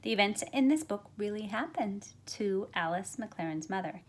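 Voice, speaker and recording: A middle-aged woman, a teacher, speaking expressively and deliberately as if to young students during a picture book read-aloud. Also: medium pitch, expressive. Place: carpeted room at home, indoors. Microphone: phone at arm's length.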